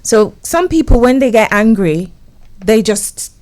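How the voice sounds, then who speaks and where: A woman's voice: on the high side, lively intonation, a little slow, a little mellow. A woman from England, a relationship consultant speaking in a casual interview, earnest and fond of telling stories and acting out what her husband says.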